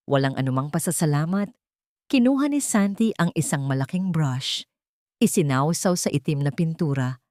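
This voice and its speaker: A woman with a low voice narrates a scripted romantic drama. The recording is dry and close, and she reads as a storyteller. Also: mellow, dry recording.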